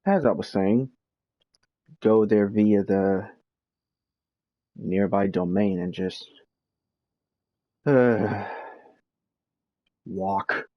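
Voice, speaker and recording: A man giving casual, halting live commentary as he streams a game, with a dry, grumbling manner. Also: on the low side, dry recording, lo-fi sound.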